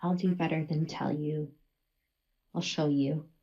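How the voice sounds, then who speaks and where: Woman as a determined young warrior girl, low-toned. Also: fairly even intonation, unhurried, warm tone. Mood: resolute, eager. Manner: short, resolute, respectful replies. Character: eager, determined little warrior girl answering her ruler. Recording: slightly dull sound, music behind.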